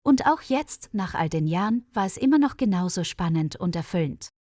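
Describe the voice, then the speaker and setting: A woman's voice: on the low side, animated, moderate pace, mellow, no echo, clean studio sound. A woman reading a scripted story as an audiobook narrator for language learners.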